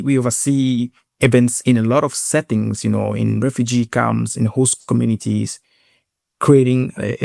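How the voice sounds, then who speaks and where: A man from Cameroon with a Cameroonian accent, telling his story as an interview guest in an earnest, halting way with repeated words. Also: medium pitch, creaky, darkish tone, dry recording, clean studio sound. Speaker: guest, sports inclusion program lead.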